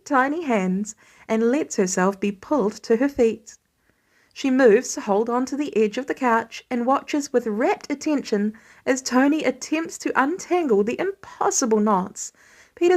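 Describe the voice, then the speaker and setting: A woman reading a scripted piece of fan fiction as narration. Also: fairly high pitch. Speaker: fan fiction podfic reader.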